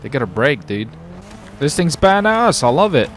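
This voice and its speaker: A man talks in loose, slangy gamer chat. His voice is gravelly with plenty of fry, and faint vehicle noise sits behind him. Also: on the high side, big pitch swings, moderate pace, fairly bright tone. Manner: slangy, says dude and baby often. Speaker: gaming video host, roleplay player. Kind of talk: casual gameplay roleplay chat. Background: car game sounds.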